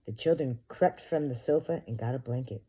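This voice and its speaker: A woman's voice: very deep voice, a little fast, mellow, slightly rough. A woman preschool teacher reading a picture book aloud expressively and talking directly to young children. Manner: addresses children directly with simple questions.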